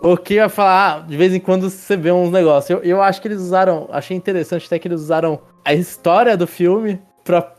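A man's voice: high-pitched, steady tone, fairly quick, hardly any pauses, faint music behind. A man hosting a casual film review podcast, rambling with restarts and tag questions as he leads the talk. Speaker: podcast host.

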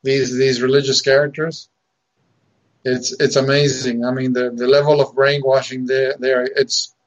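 A man from Argentina talks on a podcast as a guest, worked up and emphatic, stumbling over repeated words and chuckling between points. His voice sits at a middle pitch. The audio is dull and lo-fi. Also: little pitch movement, normal speed. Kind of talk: casual podcast chat.

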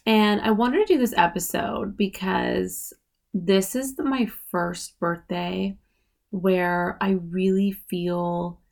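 A woman's voice: fairly low pitch, vocal fry, darkish tone. A woman in her late thirties hosting a podcast, talking casually on her own and filling her speech with "like" and "you know what I mean". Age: 30s. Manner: chatty, many fillers like "like" and "you know". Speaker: podcast host. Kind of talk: casual solo podcast talk.

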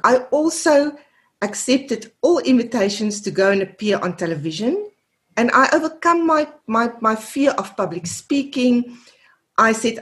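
A woman in her fifties from South Africa with a South African accent, Afrikaans as her first language, a therapist guest earnestly recounting her career in an interview. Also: mid-range voice. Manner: earnest, repeats words while searching for them. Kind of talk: reflective interview answers.

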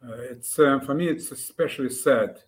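An older man speaks English with an Eastern European accent, at a medium male pitch. He answers as an interview guest, reflective and hesitant, leaving long gaps as he forms his sentences. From Ukraine. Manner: halting, hesitant delivery with long pauses.

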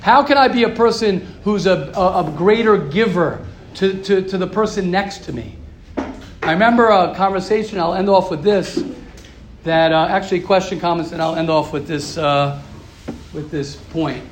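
A man's voice: high voice, moderate pace, roomy sound, slight background noise. A man teaching a Torah class to a live group, emphatic and repetitive, asking rhetorical questions. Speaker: rabbi giving a class. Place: room with a live audience.

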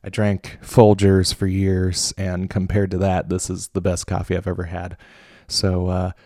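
A man's voice: low-pitched, completely flat delivery, a little slow, mellow, no echo, studio quality. A man in his late twenties hosting a casual solo podcast, rambling with lots of fillers and restarts, jittery from coffee. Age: late 20s. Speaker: podcast host.

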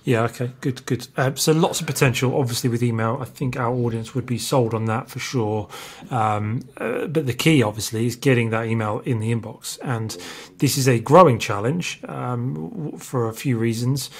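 A man hosting a podcast, interviewing conversationally and easing into his questions in an informal, halting way. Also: mid-range voice, gravelly, breathy edge. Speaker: podcast host.

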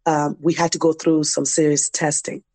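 Young woman, evenly pitched. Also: little pitch movement, moderate pace, dark tone, no room sound. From Liberian, grew up in a refugee camp in West Africa. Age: young adult. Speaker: interview guest, dance program founder. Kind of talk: conversational personal storytelling.

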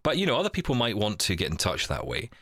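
A man with a very low voice that moves around a lot in pitch. He hosts a casual podcast, rambling and doubling back on words in a jokey, teasing way. The recording is close and dry. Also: huge pitch swings, quick pace, a bit bright, no echo. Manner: rambling, repeats words to get going. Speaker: podcast host. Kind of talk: casual chat.

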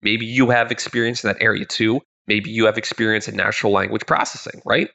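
A man's voice: lowish voice, flat delivery, a little fast, vocal fry, bright, forceful tone. Congested, crackly-voiced male podcast host. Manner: upbeat, conversational, with frequent word repeats. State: congested, slightly nasal from illness. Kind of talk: solo podcast monologue.

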